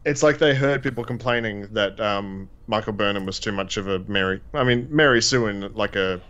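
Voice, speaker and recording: A man's voice: fairly low pitch, normal speed, a bit bright, dull audio. A man in his twenties with an Australian accent, co-hosting a casual podcast chat and rambling with lots of fillers and restarts. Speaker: podcast co-host.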